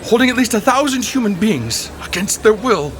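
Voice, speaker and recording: A man's voice: sits high, very animated, normal speed, a little hiss or hum. A man playing an appalled, indignant city inspector in a comedic audio drama. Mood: stern.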